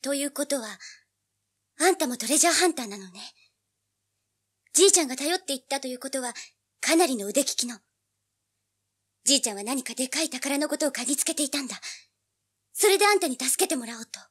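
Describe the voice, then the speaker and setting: A woman's voice: high voice, expressive, fast talker, pauses often. An actress playing a feisty, money-hungry teenage girl with a pert, blunt manner in a dramatized audiobook. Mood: greedy, demanding.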